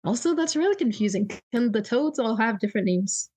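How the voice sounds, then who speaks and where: A woman's voice: a little high, lively intonation, brisk, mellow. A woman co-hosting a casual movie podcast, curious and giggly, asking lots of questions. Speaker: podcast co-host. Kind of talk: casual movie review chat.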